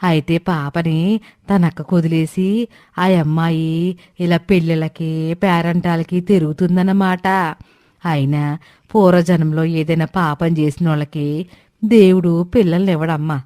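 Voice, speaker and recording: A judgmental village gossip played by a woman in a moral tale, meddling and knowing. The voice is mellow, slightly low and dryly recorded. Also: steady tone, a little slow, dry recording, clean studio sound, natural voice. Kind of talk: acted moral-story dialogue.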